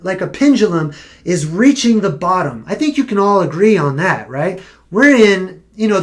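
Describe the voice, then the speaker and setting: A young man hosting a casual live stream, losing his voice, rambling and correcting himself as he talks. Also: high voice. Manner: rambling, self-correcting, conversational.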